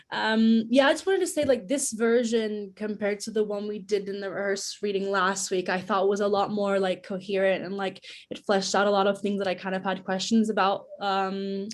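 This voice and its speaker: A woman's voice: mid-range voice, little pitch movement, moderate pace. A young adult woman giving casual feedback on a video call, tentative and informal, often saying "like". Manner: informal, tentative, heavy use of "like". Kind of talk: casual chat. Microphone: video call microphone.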